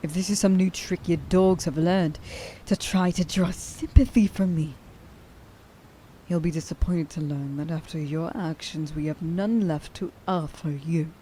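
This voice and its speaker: A woman playing a stern, grieving huntress in a dramatic ASMR roleplay monologue, halting and intense in her delivery. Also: fairly low pitch, steady tone, mellow, slight background noise, close to an everyday voice. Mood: angry, agitated. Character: stern, grieving young huntress who hates werewolves.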